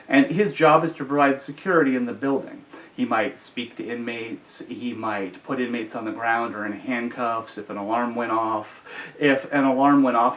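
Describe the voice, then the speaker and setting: An American man telling personal stories in an informal, measured, explanatory way. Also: medium pitch, a bit bright. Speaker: storyteller, host. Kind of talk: informal explanatory storytelling about personal experience. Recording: slightly distant from the microphone.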